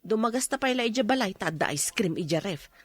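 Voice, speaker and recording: A woman's voice: medium pitch, lively intonation, fairly quick, husky. A woman playing a carefree, confident, coaxing friend in a radio drama, with an Ilocano accent. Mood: breezy, coaxing.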